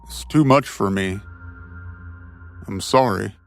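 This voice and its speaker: Man voicing a cautious, lowish-voiced bug catcher. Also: slow, gravelly, faint music behind. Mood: resigned. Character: cautious, weary male bug catcher, reluctant and folksy. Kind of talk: audio fiction character dialogue.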